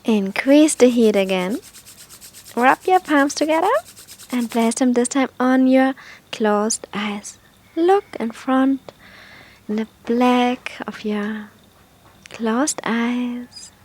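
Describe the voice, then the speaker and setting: Female meditation guide, high breathy voice, very slow and soft, gentle instructional delivery with long pauses.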